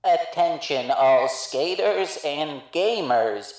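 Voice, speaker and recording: A woman voicing a showy roller rink announcer in a comedic table read, drawn-out, over an echoing PA. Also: low voice, animated, darkish tone, exaggerated voice. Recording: heavily echoing PA-style sound.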